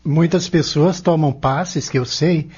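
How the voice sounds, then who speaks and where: A man's voice: fairly high pitch, fairly even intonation, takes it slowly, darkish tone, breathy edge. A Brazilian man acting in an audio novel as a curious, attentive pupil who questions his teacher in a plain-spoken way. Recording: dull, lo-fi audio.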